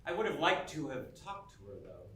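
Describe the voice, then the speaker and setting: A man's voice: on the high side, sing-song, fairly quick, sharp tone. An actor playing a courtly, insinuating, obsessive male admirer in a staged reading. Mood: ingratiating, insistent. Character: smooth-talking, insistent, obsessive male admirer. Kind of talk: theatrical acting in a staged musical reading. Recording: distant echoey stage sound, faint noise.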